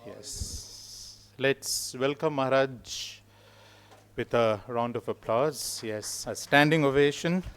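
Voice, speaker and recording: A man opens a spiritual retreat, introducing the speaker at a loud, measured, ceremonious pace. His pitch sits in a plain middle range for a man, and he speaks from an ashram hall. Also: clean tone. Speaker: host of the retreat.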